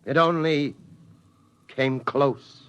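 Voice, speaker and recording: A man playing a weary, steady father who tells his family how the world ended, in slow, solemn 1950s radio drama style. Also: medium pitch, clean tone. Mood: ominous. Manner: slow, solemn storytelling cadence. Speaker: father telling the family story. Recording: dull 1950s radio broadcast sound.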